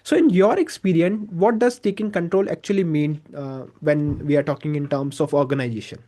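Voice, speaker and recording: A man's voice: on the high side, fairly quick, mellow. A man hosting an interview podcast in a polite, formal way. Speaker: podcast host.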